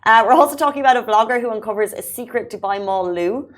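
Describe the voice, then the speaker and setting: An Irish woman presents a talk show at a brisk clip. Her delivery is upbeat and conversational, like a host chatting through the day's stories. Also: fairly high pitch. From Ireland. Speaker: podcast and radio show host.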